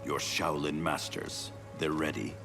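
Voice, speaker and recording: A man voicing a gruff, suspicious warrior in a dramatic video game, with terse, stern delivery. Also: flat delivery, measured pace, heavily acted. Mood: grim, terse. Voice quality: very deep, gravelly. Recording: dramatic music behind.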